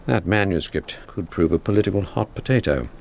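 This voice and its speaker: An actor playing a measured, sceptical intelligence officer in a radio drama, polite but probing. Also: male voice, sits low, expressive, gravelly, darkish tone. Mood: businesslike. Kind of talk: naturalistic radio drama acting.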